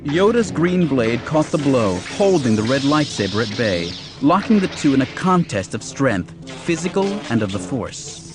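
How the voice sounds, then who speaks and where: A man's voice: medium pitch, sing-song, moderate pace, hardly any pauses. A man reading an audiobook as narrator, delivering scripted action storytelling in a dramatic style. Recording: music behind the narration.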